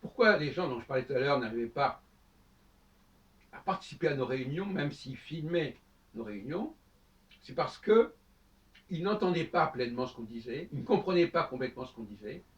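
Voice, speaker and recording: A man giving a spoken lecture on his own, talking in halting bursts with many restarts. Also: mid-range voice, lively intonation. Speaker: host. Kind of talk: lecturing monologue. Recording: dull sound, from far off the mic.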